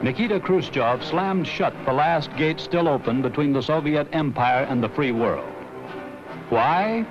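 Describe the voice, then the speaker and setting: An American man reading a scripted 1960s promotional film narration in a grave, emphatic, measured announcer's delivery. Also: a little high, expressive. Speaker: documentary narrator. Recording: dull vintage film soundtrack with music.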